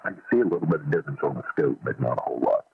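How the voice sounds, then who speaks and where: A man with a very deep voice chatting over ham radio in a friendly, folksy way. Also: expressive, very fast, gravelly, slightly rough, dry recording. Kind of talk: casual radio chat. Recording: radio transmission, narrow band.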